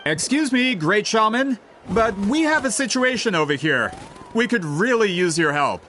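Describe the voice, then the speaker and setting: Polite story collector, careful and hesitant, lively slightly high voice. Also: male voice, moderate pace, soft background music, full character voice. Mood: earnest. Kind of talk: video game voice acting.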